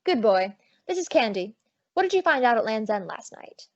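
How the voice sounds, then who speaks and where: A woman playing a sassy, quick-witted female private detective in an old-time radio drama, wry and brisk. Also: fairly high pitch, very animated, no echo. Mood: brisk, confident. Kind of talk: old-time radio drama acting with first-person narration. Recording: dull lo-fi audio.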